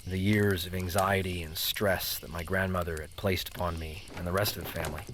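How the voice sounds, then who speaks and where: A man's voice: low voice, little pitch movement, fairly quick, a bit bright, slight background noise. A man playing an uneasy grown grandson who narrates an audio drama and talks in a hesitant way. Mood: reflective. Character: grown grandson, uneasy, relieved, reluctant with his family. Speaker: narrator and lead character. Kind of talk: first-person audio drama narration and dialogue. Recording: music bed under the voice.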